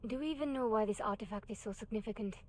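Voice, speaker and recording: An actress playing a determined, sardonic heroine in a video game, speaking in terse, dry replies. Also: female voice, fairly high pitch, fast, lightly acted. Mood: dry, focused. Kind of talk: video game cutscene acting.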